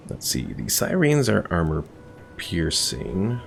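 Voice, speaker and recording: Low creaky guy streaming a strategy game, laid back, chatting to chat. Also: huge pitch swings, slow, slight background noise. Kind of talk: casual live stream commentary.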